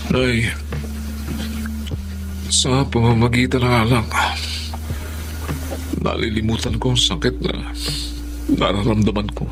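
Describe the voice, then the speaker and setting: An actor playing a despondent, hard-drinking middle-aged widower with a Filipino accent in a radio drama. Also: male voice, medium pitch, flat delivery, slow, pauses often, close to an everyday voice. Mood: weary, pleading. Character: grieving widowed man, hard-drinking, stubborn, despondent son. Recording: dramatic music under the voice.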